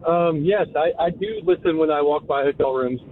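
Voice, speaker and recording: A man's voice: fairly high pitch, normal speed, slight background noise. A man calling into a radio show, speaking hesitantly. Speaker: radio caller. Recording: tinny phone line.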